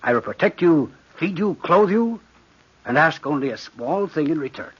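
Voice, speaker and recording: Sly fake prince shadow creature, courtly n menacing, husky, old muffled radio play. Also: male voice, on the high side, lively intonation, normal speed. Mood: silky menace. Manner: suave, courtly, theatrically sinister. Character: cunning living shadow posing as a prince, smooth, menacing. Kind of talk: old-time radio drama acting. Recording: dull vintage radio recording.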